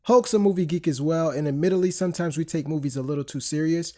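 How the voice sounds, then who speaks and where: Stuffy-voiced chatty podcast host. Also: male voice, fairly high pitch, fast talker, warm tone. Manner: chatty, rambling with fillers and restarts. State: stuffy from a cold. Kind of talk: casual podcast chat.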